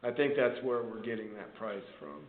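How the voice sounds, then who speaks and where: A man's voice: on the low side, animated, normal speed, phone-quality sound, crowd noise. A man, a town official, talking informally in a council meeting over a conference call. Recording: echoey, noisy phone conference line.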